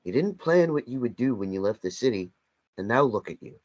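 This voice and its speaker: A man acts out a sardonic, blunt companion in a stage drama. He needles and confronts with dry wit, the pitch moving widely. The recording is a little dull. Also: animated, fairly quick, a little mellow, lightly acted. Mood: accusing. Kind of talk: script table read. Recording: dull lo-fi sound.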